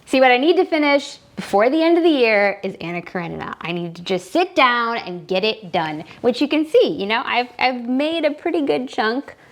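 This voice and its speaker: A woman's voice: on the high side, animated, normal speed, few pauses. A woman talking casually and enthusiastically to viewers as a book vlog host, gushing and wandering into asides as she thinks aloud. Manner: enthusiastic, gushing about books. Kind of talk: casual chatty reading vlog.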